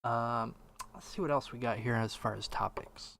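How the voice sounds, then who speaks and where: A man's voice: mid-range voice, normal speed, gravelly, fairly bright tone, no echo. A man co-hosting a podcast in casual chat, who talks in a conversational, mildly skeptical way and reads out live chat. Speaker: podcast co-host.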